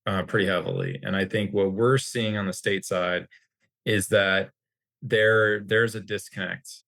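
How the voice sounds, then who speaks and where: American male expert interview guest, lowish voice, halting and wordy delivery. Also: steady tone, a bit bright, no room sound. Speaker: interview guest, healthcare industry executive. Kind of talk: informal expert discussion.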